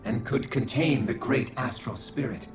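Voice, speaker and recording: A man's voice: medium pitch, normal speed, close to an everyday voice. An actor playing a cold, calculating male observer figure in a video game cutscene, speaking formally and without feeling. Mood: detached. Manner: formal, detached, clinical. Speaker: game character. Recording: echoey, with game music behind.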